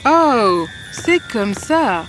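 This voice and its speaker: A woman's voice: fairly high pitch, sing-song, very slow, a bit bright, full character voice. A hard, cold mother from a storybook cartoon, acted by a woman. She speaks curtly and bitterly, giving orders, with faint music behind her. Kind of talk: fairy tale cartoon voice acting.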